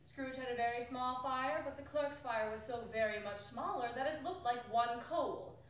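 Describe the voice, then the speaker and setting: High-voiced woman reading in an echoey hall. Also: normal speed. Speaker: stage reader in a theatre company. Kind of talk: theatrical dramatic reading of a classic story. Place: arts center performance space, distant camera. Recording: echoey hall from far off, faint noise.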